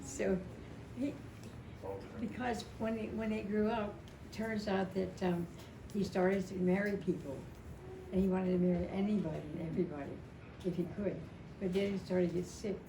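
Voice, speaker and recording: A woman in her seventies giving an informal, rambling and emotional personal tribute at a memorial, telling stories in a halting way. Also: medium pitch, steady tone, gravelly. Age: 70s. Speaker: widow speaking at a memorial. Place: indoor family memorial gathering. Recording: echoey, distant mic, noisy gathering room.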